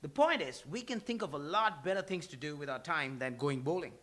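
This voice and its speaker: Guy doing pompous needling sitcom bartender, high sharp voice, really animated, table read. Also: fairly quick. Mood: indignant, mocking. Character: haughty, indignant, needling male bar worker.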